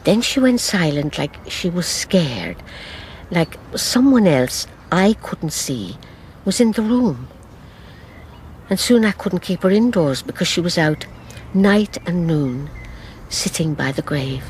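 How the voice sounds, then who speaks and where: An actress playing an elderly Irish grandmother in a radio drama, recounting her past in a measured, sorrowful, wistful way. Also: fairly low pitch, animated, darkish tone, a little hiss or hum. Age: older.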